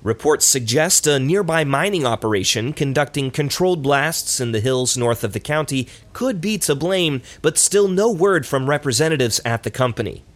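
A man's voice: medium pitch, lively intonation, normal speed, hardly any pauses, lightly acted. A man playing a polished, formal local radio news announcer in an audio drama. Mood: newsy, neutral.

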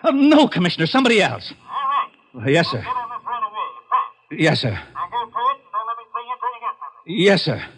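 An actor playing an exasperated, blustering middle-aged New York homicide lieutenant who grumbles and sputters, in old radio detective drama style. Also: male voice, on the high side, slow, long pauses, husky, exaggerated voice. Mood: flustered. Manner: excitable, grumbling, sputters when flustered. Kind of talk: 1950s radio detective comedy-drama acting.

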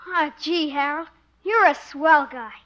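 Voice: sits high, unhurried, bright, forceful tone. A performer playing an earnest, innocent little boy in a 1950s radio comedy. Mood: eager, innocent. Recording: dull vintage 1950s radio recording.

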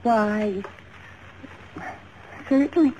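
An anxious young American model in a 1950s radio drama. Her voice is soft-toned and mellow, earnest, hesitant and defensive. The old recording is dull, with faint noise. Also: fairly high pitch, very slow. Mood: polite. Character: anxious, pleading young female fashion model under suspicion.

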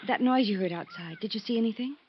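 A woman's voice: a little high, fast, natural voice. An actress playing an anxious, jumpy woman in a 1950s radio drama, worried and pleading. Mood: uneasy. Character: anxious, jumpy American woman stranded abroad. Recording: noisy, muffled 1950s radio recording.

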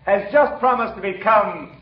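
An actor playing a proud, lovestruck suitor in a 1940s radio comedy, speaking in a flowery, declamatory way. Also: male voice, sits high, moderate pace, crowd noise, close to an everyday voice. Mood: proud, elated. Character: proud, lovestruck suitor making a grand announcement. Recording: echoey, muffled, noisy 1940s radio recording.

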